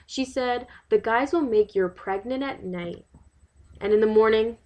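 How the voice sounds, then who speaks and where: A woman's voice: a little high, a little slow, a little mellow, lo-fi sound, lightly acted. A woman performing a dramatic monologue as an indignant, worried New York mother, emphatic and exasperated, firing rhetorical questions. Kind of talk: dramatic monologue, testimonial to a listener.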